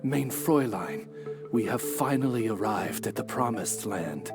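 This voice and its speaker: A man's voice: medium pitch, animated, a little slow, loud music behind the voice. A man voicing a dignified, devoted raven retainer in an anime video game, speaking in a formal, stately, archaic way. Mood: solemn.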